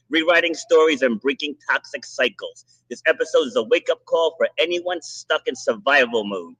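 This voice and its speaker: A man hosting a podcast, giving a motivational monologue and interviewing a remote guest in bursts of quick, restarting speech. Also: mid-range voice, bright, forceful tone, breathy edge, poor audio. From Rhode Island. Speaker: podcast host.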